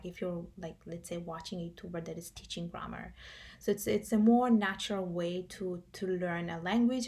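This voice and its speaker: A young woman who grew up in Italy, talking casually to camera as a vlogger, with many fillers and restarts. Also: fairly low pitch.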